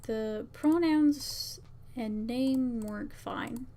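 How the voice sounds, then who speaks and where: Slow-paced, higher-voiced woman streamer thinking aloud. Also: dark tone. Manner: muttering to herself, reading out lines as she works. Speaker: VTuber streamer and game maker. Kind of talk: casual livestream, thinking aloud while testing a visual novel.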